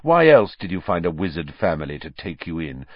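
Guy doing a low menacing growly fugitive man in a storybook, scornful and taunting, slightly muffled. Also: big pitch swings, dry recording. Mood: contemptuous. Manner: slow, taunting, contemptuous. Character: bitter, menacing adult male fugitive, vengeful and scornful.

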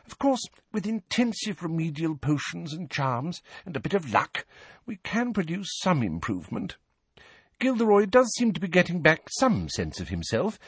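A man's voice: on the high side, slightly rough, no echo, voice pushed up. A man voicing a kindly, motherly woman healer in an audiobook, chatty and confiding as she soothes and fusses.

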